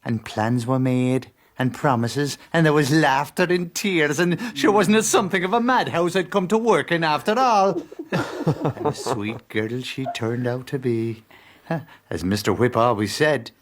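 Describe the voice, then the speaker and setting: An actor playing a plain-spoken, wry Irish servant who narrates a period radio drama. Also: male voice, fairly high pitch, unhurried, slight background noise, close to an everyday voice. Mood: amused, relieved.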